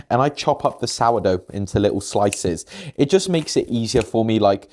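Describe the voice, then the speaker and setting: A man's voice: fairly low pitch, darkish tone. A young man from Poland with a British English accent, chatting casually through a home kitchen vlog in a rambling, self-deprecating way. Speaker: fitness vlogger.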